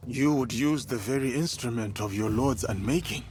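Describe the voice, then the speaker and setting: An actor playing an earnest, respectful warrior in samurai training in a video game, speaking in a formal, composed way. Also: male voice, mid-range voice, moderate pace, a little mellow, close to an everyday voice. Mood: grave, determined. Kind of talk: dramatic video game acting.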